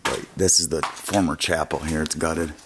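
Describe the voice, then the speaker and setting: A man quietly narrating as he walks through an abandoned building, often dropping to a hushed half-whisper. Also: a bass voice, fairly even intonation, normal speed. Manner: hushed, half-whispered remarks while exploring. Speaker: urban explorer vlogger. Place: abandoned funeral home indoors. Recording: noisy camera audio.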